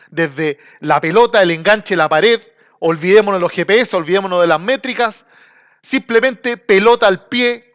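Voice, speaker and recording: A man's voice: high-pitched, a bit bright. A Chilean man with a Chilean accent hosting his own football show, talking casually and emphatically to a small camera, full of slang. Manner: colloquial, slangy and emphatic, drinking while talking.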